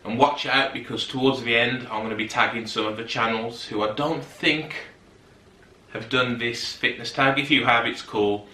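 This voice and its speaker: A man's voice: medium pitch, fairly even intonation, normal speed, sharp tone, distant mic. Chatty man in an echoey room. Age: 30s. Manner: chatty, rambling, goes off on tangents. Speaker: fitness YouTuber. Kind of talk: casual chat to camera. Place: sitting on a settee at home.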